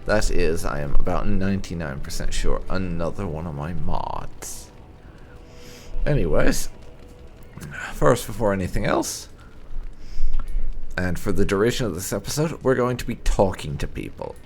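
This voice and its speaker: A man hosting a let's play, giving commentary in halting, drawn-out phrases. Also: low-pitched, slight background noise. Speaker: gaming channel host.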